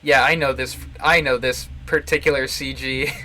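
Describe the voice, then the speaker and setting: A man giving casual, halting live commentary on a visual novel, chuckling to himself as he rambles. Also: medium pitch, fairly even intonation, pushed and bright. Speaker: game streamer, host. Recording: game music behind the voice. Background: video game music.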